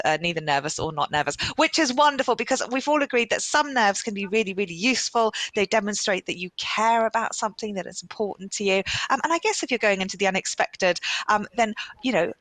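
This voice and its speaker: A woman's voice: mid-range voice, fairly bright tone, no room sound, low-quality recording. A woman hosting a live online student session, reading out poll results and viewer comments in an encouraging, chatty way. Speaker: host of a live student webcast.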